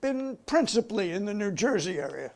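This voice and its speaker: A man's voice: high voice, very animated, a little fast, vocal fry, full character voice. An American man reading the part of a formal, insistent committee interrogator in a dramatic reading. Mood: pressing. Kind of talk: dramatic reading of a hearing transcript.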